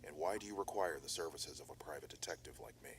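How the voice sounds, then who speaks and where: A man voicing a steady private detective from an analog horror tape, his voice extremely low and his delivery quick but formal. He stays measured and reassuring, with faint noise under the recording. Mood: calm. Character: calm male private detective, steady, methodical. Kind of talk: analog horror audio drama.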